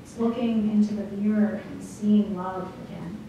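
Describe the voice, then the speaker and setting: A woman performing slam poetry, speaking deliberately with dramatic phrasing and pauses. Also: medium pitch, fairly even intonation, mellow, far from the mic, lo-fi sound. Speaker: slam poet. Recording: echoey room from afar, faint noise.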